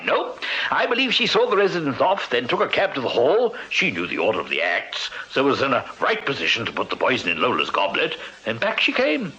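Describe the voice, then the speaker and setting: An actor playing an eager, blustering retired Victorian army major in a radio drama, with a hearty upper-class English delivery. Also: male voice, fairly high pitch, sharp tone, breathy edge, heavily acted. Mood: emphatic, confident. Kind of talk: radio period drama acting. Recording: slightly dull radio drama recording.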